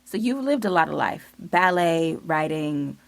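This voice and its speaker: A woman with a low, gravelly voice hosts a podcast and keeps her questions upbeat and brisk. Her voice sounds close and dry. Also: expressive, no room sound. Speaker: podcast host. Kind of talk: podcast interview.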